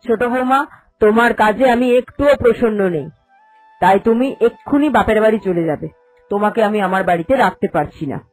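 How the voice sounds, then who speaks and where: A woman's voice: mid-range voice, brisk, close to an everyday voice, low-quality recording. Woman acting a stern old mother-in-law. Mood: stern displeasure. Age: older. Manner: authoritative, accusing, formal. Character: stern, cunning elderly mother-in-law of the household. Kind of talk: cartoon storytelling dialogue. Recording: voice over background music.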